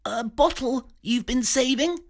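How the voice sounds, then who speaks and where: A man voicing a nervous, genteel talking pig who speaks like a posh British gentleman, fussy and jittery. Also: extremely high pitch, measured pace, voice pushed up.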